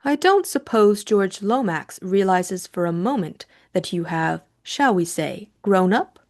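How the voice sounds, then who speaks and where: A woman's voice: medium pitch, lively intonation, measured pace, darkish tone. A woman voicing an imperious older aristocratic lady in an audiobook mystery, speaking in a lofty, commanding, emphatic way.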